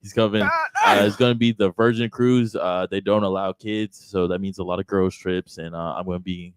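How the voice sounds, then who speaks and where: A man's voice: low-pitched, steady tone, brisk, clear, resonant tone, dry recording, studio quality. A man co-hosting a casual podcast, blunt and teasing with his guests. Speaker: podcast host. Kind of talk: casual chat.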